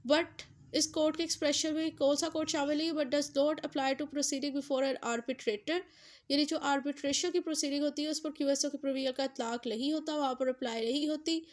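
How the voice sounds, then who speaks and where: A woman's voice: high-pitched, steady tone, moderate pace. A woman with a Pakistani accent giving an explanatory lecture as a teacher, mixing in English terms and repeating key points for emphasis. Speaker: law lecturer. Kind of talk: explanatory exam-prep law lecture.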